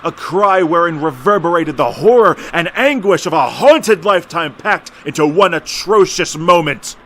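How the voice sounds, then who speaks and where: A man's voice: fairly high pitch, animated, normal speed, a bit bright, slight background noise. A man narrating a retro radio horror drama in a dramatic, literary storytelling manner.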